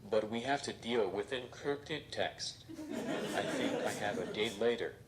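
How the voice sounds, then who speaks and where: A man voicing a robot stand-up comedian who delivers deadpan jokes on stage. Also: fairly low pitch, measured pace, noisy background, close to an everyday voice. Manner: deadpan, flat punchlines. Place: stage before a live audience.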